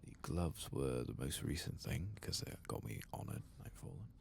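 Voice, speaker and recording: A man giving soft-spoken ASMR game commentary in a gentle, murmuring voice that often drops to a breathy half-whisper. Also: rumbling low, moderate pace, a little hiss or hum. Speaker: ASMR gaming channel host.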